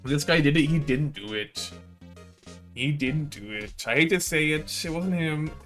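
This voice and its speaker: A man's voice: fairly high pitch, lively intonation, a little slow, a bit bright, faint music behind. A man giving casual, informal livestream commentary on a game, with swearing in his reactions. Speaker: game streamer.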